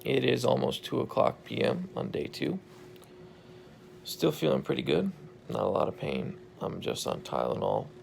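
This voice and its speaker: A man in his thirties with a hoarse, croaky voice after intubation, speaking tiredly into a phone held at arm's length in a hospital room. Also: wildly swooping pitch, normal speed, darkish tone, slight background noise. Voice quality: gravelly, croaky. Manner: tired, low-key, relieved. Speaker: patient recording a video diary. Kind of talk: casual video journal.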